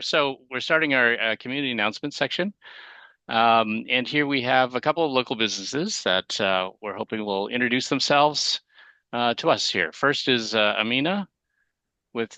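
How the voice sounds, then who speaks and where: A man's voice: mid-range voice, bright, forceful tone, no echo. A man hosting a neighborhood meeting over a video call, speaking in a friendly, loose way with frequent "uh" fillers. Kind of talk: chairing a community meeting.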